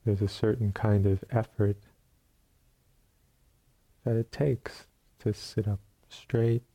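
A man leading a guided meditation as a teacher, speaking in short, unhurried phrases with long pauses between them. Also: on the low side, level tone, dark tone.

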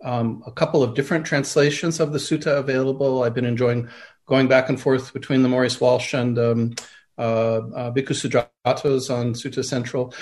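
A man's voice: mid-range voice, a little mellow. A man taking part in an online class, speaking hesitantly with many ums and sounding appreciative. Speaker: class participant. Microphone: video call.

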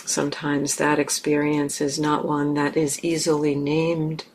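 A woman meditation teacher leading a guided meditation gently and haltingly, in short phrases with long pauses. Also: sits low, monotone. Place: meditation center hall. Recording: clear background noise, room ambience.

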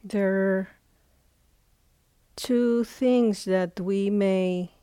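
A woman leading a guided meditation as a teacher, speaking in short phrases with long, unhurried pauses. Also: mid-range voice, fairly even intonation, dark tone, clear, resonant tone, no echo, studio quality.

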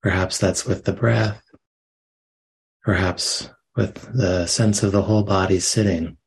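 Low, slow-speaking man guiding meditation. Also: steady tone, vocal fry, breathy edge, rough recording. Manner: gentle, unhurried phrasing with long pauses. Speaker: meditation teacher. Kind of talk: guided meditation, slow soft instruction.

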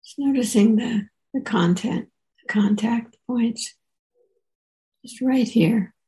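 A woman teacher leading a guided meditation in a soft, relaxed way, leaving gentle pauses. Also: fairly high pitch, low-quality recording.

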